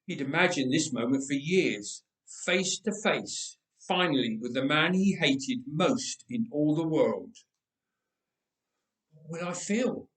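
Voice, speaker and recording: A man reading a story aloud in a dramatic, expressive, measured way with deliberate pauses. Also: fairly high pitch, far from the mic. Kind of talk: dramatic scripted story narration. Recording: roomy, echoing indoor sound.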